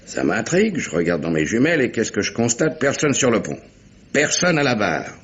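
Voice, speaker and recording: Un acteur jouant un capitaine de navire marchand anglais, bourru et impatient, au parler direct et familier, dans une dramatique radio policière française. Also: male voice, medium pitch, big pitch swings, brisk, fairly bright tone. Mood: matter-of-fact recounting. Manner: blunt, colloquial, grumbling. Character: gruff, impatient male English merchant sea captain. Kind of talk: French radio mystery drama acting. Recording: dull, noisy vintage radio recording.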